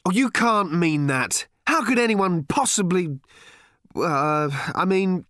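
A man's voice: mid-range voice, sing-song, normal speed, no room sound, studio quality, close to an everyday voice. A man voicing an awkward, mild father in an audiobook, bewildered and stammering as he reasons with his daughter. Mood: flustered, protesting. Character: bewildered, well-meaning, awkward father of a teenage girl.

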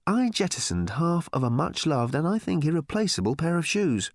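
A man's voice: a little high, very animated, moderate pace, dry recording, studio quality. A man voicing an urgent, testy, self-important space traveller in a storybook reading, snappy and insistent. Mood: smug, cross.

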